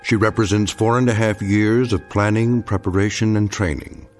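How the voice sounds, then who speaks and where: An actor as a grave male narrator delivering dramatic scripted narration in a solemn, measured, portentous way. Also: on the low side, fairly even intonation, soft background music, close to an everyday voice. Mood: grave, ominous.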